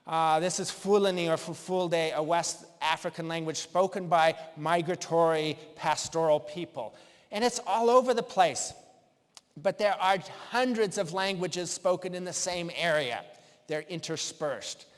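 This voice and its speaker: A man giving a conference lecture in a measured, explaining way. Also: fairly high pitch. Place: lecture hall. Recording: long hall reverb.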